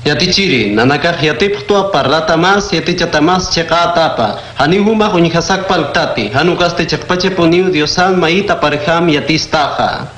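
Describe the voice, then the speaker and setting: Un hombre interpreta a un adulto que pone preguntas capciosas a un maestro en un radioteatro cristiano. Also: male voice, on the high side, normal speed, fairly bright tone, lightly acted. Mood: questioning. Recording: echoey room.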